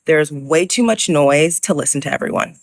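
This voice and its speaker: A woman's voice: low voice, animated, normal speed, heavy background noise. A woman giving earnest, reflective advice in a conversational monologue. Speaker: advice creator.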